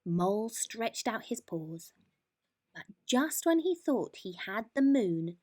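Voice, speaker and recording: A woman narrating a children's bedtime story in an expressive, deliberate manner. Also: medium pitch.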